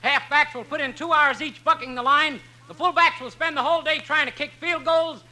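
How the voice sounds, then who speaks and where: An actor playing a bossy, strict college football coach in a barking, commanding manner, in 1950s radio comedy style. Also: male voice, extremely high pitch, steady tone, brisk, bright, forceful tone, exaggerated voice. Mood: bossy, stern.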